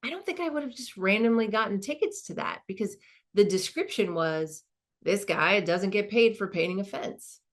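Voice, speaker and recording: A woman playing a reflective, earnest professor in her sixties, in a halting, conversational stage monologue. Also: mid-range voice, lively intonation, close to an everyday voice. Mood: earnest, moved. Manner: halting, thoughtful, colloquial. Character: reflective, earnest 64-year-old woman, professor nearing retirement. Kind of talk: dramatized first-person monologue, conversational.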